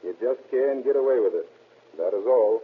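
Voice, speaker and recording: Husky, folksy man on old radio. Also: medium pitch, moderate pace. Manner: folksy, emphatic. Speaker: actor giving a guest curtain speech. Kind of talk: scripted 1930s radio testimonial. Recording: muffled, narrow-band old radio recording.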